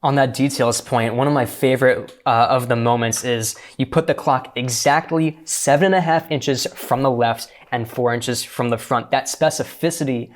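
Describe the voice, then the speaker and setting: A man with a medium-pitched voice shares notes on a script. He is articulate and appreciative, talking analytically but informally. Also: fairly even intonation, normal speed, hardly any pauses. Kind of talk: casual analytical discussion of a script.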